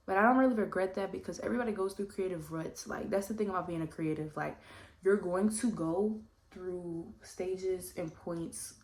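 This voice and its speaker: A woman around twenty, a little congested, chatting casually and rambling while doing her makeup for a vlog Q&A. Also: lowish voice. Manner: rambling, relaxed, heavy use of "like" and "you know what I mean". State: slightly congested, coming down with a cold. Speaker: vlogger. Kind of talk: casual get-ready-with-me Q&A chat.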